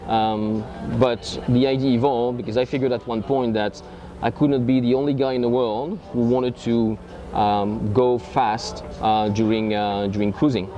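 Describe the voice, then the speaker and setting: A man's voice: mid-range voice, steady tone, moderate pace, few pauses, dark tone, dull audio. A man with a French accent, answering interview questions hesitantly with many ums and uhs, outdoors at a marina. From France. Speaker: boat owner.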